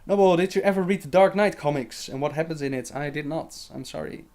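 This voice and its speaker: A man's voice: on the high side, fairly quick, a little mellow. Chatty young man answering viewer questions. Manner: chatty, reads questions aloud then answers with fillers and laughs. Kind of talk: casual livestream Q&A.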